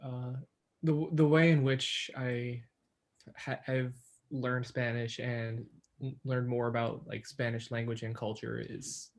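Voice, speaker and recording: A man's voice: mid-range voice, little pitch movement, measured pace. Young male podcast co-host, hesitant and filler-heavy, casual. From New York, USA. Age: young adult. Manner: hesitant, many fillers and restarts. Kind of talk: casual chat.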